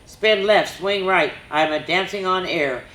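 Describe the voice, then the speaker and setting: A woman voicing a cheerful dancing Christmas reindeer from a picture book, with bouncy, excitable exclamations. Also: on the low side, measured pace, voice pushed up. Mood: excited. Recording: roomy echo.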